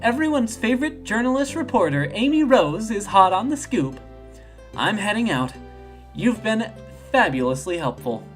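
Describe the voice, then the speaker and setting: A man's voice: high-pitched, very animated, normal speed, soft background music, pitched above the performer's own voice. A man voicing a perky, sassy, self-assured young female hedgehog in a video game, bubbly and theatrical.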